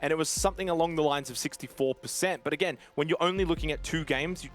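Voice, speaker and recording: A man's voice: a little high, fast. A man giving live play-by-play and analysis of a competitive shooter game in a fluent, analytical way. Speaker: esports commentator. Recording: music behind the voice. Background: music.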